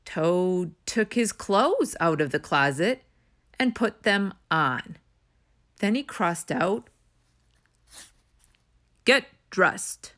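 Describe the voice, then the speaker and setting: A woman reading a children's story aloud as narrator, in an expressive storytime style. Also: on the low side, slow. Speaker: storytime reader.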